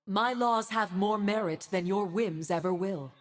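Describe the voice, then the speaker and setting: A woman's voice: medium pitch, unhurried, faint music behind, close to an everyday voice. A woman playing a proud, righteous winged warrior and judge in a video game, with a solemn, commanding, haughty delivery. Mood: cold disdain. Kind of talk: dramatic video game voice acting.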